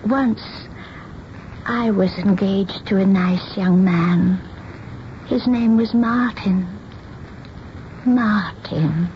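An actress playing a timid, dreamy reclusive seamstress in a radio drama, with hesitant, stammering, childlike wistfulness. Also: female voice, medium pitch, very slow, pauses often. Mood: wistful. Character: timid, dreamy reclusive old-maid seamstress lost in fantasy. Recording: dull, hissy broadcast radio recording.

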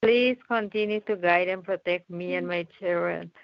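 Low, creaky woman praying in pleading appeals. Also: normal speed, dry recording, dull audio. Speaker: prayer group member leading prayer. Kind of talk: fervent extemporaneous prayer.

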